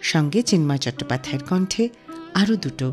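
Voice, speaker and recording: Woman radio presenter, scripted storytelling, slightly low pitch, highly expressive range, light music bed. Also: fast talker. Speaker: radio show host. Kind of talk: scripted storytelling narration about a singer's life.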